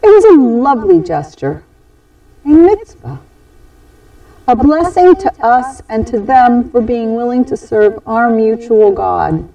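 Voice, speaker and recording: A woman tells a scripted inspirational story at a slow, deliberate pace. Her voice is soft-edged and mellow, with animated rises and falls. The microphone sits at some distance from her. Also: fairly high pitch, lo-fi sound.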